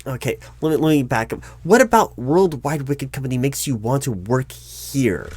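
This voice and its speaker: A man's voice: mid-range voice, expressive, normal speed, darkish tone, slight background noise. A man speaking aloud in a one-sided job interview roleplay as a polite, officious recruiting manager, in a formal and wordy corporate way.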